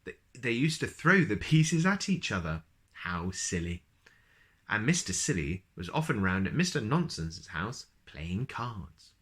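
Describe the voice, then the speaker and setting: A man reading children's storybooks aloud as host, expressive and speaking directly to young listeners. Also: lowish voice, measured pace.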